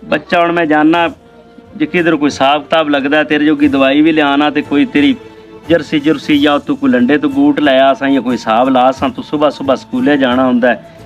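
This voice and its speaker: A man's voice: on the high side, little pitch movement, fairly quick, close to an everyday voice. A man with a rural Pakistani Punjabi accent acting a poor, proud, worried village father in a weary, rambling way. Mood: helpless, worried. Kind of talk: amateur moral drama acting. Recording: dull lo-fi video with faint music.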